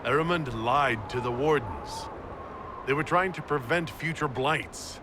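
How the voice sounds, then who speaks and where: An actor playing a resolute heroic leader in a video game, speaking in an earnest, firm and commanding manner. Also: male voice, mid-range voice, unhurried, background noise, natural voice. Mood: serious, probing. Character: resolute heroic inquisitor confronting a villain. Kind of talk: dramatic video game acting.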